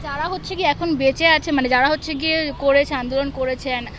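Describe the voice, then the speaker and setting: A woman's voice: sits high, steady tone, a little fast, a bit bright. A young woman with a Bangladeshi accent, a student explaining casually and mixing in English words. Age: young adult. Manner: informal, mixing in English words and filler phrases. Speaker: student interviewee. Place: outdoors on a university campus. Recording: noisy outdoor street with traffic.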